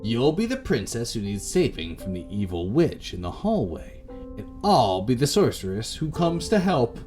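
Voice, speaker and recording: A man's voice: medium pitch, very animated, normal speed, darkish tone, faint music behind, lightly acted. A man voicing a wary, anxious young woman who is trapped, in a visual novel game. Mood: coaxing.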